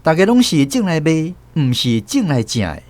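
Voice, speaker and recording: A man narrating a radio drama as host, with expressive storytelling. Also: fairly high pitch, normal speed, no room sound.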